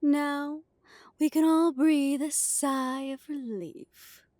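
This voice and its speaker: A woman playing a poised, courtly vampire councillor in an audio roleplay, with a measured, silky delivery. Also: sits high, fairly even intonation, warm tone, dry recording, studio quality. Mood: relieved. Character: poised, genteel female vampire of a ruling council. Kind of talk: dramatic audio roleplay acting.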